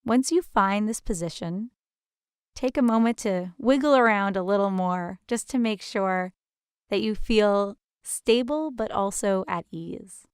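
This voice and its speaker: A woman guides a meditation at a slow, unhurried pace, with a gentle and soothing manner. Her voice is clear and smooth at a medium pitch, and she leaves deliberate pauses between soft invitations. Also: no room sound, clean studio sound.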